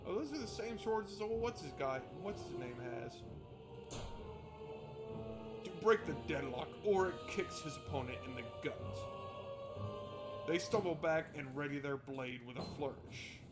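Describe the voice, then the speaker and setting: A man's voice: fairly high pitch, lively intonation, moderate pace, loud music behind the voice. A man hosting a visual novel playthrough, reading narration aloud and adding casual, wry asides. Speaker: gaming video host.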